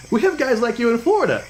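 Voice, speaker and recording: A man's voice: very high-pitched, normal speed, a little hiss or hum, rough recording. A man voicing a bewildered, flippant American everyman in a comic fantasy cartoon. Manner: excitable, flippant. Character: goofy, bewildered everyman from Earth, adult man.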